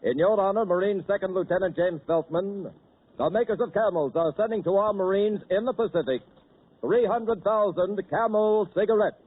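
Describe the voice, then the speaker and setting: Male 1940s announcer, formal, slightly high, phone-thin lo-fi sound. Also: moderate pace, breathy edge. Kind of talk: 1940s radio announcing, sponsor copy. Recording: tinny 1940s radio broadcast, faint hiss.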